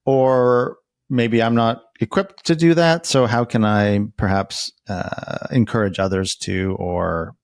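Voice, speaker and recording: A man's voice: mid-range voice, slow, hardly any pauses, no echo, studio quality. A man interviewing in a casual, conversational way, reflective and rambling, often hedging as he goes. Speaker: interviewer who works as a therapist.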